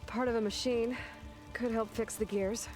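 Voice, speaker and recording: Thoughtful, determined young huntress voiced by an actress over music. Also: female voice, a little high, fairly even intonation, moderate pace, a little mellow, close to an everyday voice. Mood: resourceful, pondering. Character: determined young woman hunter and scavenger, thoughtful, resourceful. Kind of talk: video game voice acting. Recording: game music behind the voice.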